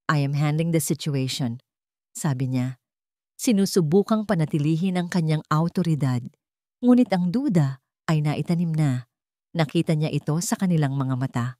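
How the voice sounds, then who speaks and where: Brief: woman narrator, low voice, dry close sound, measured dramatic storytelling. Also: mellow, no room sound.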